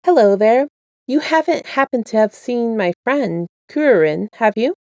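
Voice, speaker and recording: A woman voicing a gentle, teasing male magician with a soft, sweet and playful manner in a story reading. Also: fairly high pitch, expressive, unhurried, no room sound, clean studio sound, pitched above the performer's own voice. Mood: sweet, polite.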